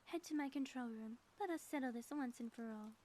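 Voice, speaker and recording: A high, soft-toned supervillainess played by a woman from an ASMR audio roleplay. She is a grandiose schemer who is also a devoted spouse, and she phrases everything with theatrical formality. Faint noise sits behind the voice. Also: brisk, natural voice. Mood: grandiose, teasing.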